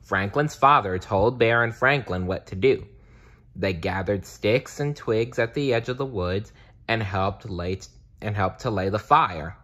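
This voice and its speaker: A man narrating a children's storybook aloud in a deliberate, expressive read-aloud style. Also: on the low side. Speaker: storybook reader.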